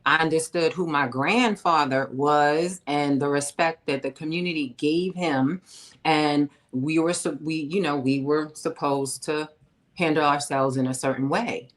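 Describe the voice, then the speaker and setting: A woman host from New with a low voice. She speaks in a lively, emphatic and slangy way, leaning into her points in casual conversation. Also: a little slow. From New York. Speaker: podcast host.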